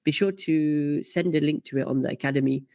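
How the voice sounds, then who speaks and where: A man's voice: fairly high pitch, fairly even intonation, a little fast, vocal fry, darkish tone, no room sound, studio quality. A man hosting a live karting coaching stream, chatty and full of fillers as he reads out comments. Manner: chatty, many fillers and restarts.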